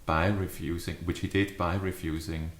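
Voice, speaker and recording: A man in his fifties with a lowish voice talks reflectively to camera, like an adviser explaining a practice. His phrasing is measured and deliberate. The sound carries clear echo from a furnished room indoors. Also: flat delivery. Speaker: leadership adviser. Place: small furnished study or living room, indoors. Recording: echoey living room.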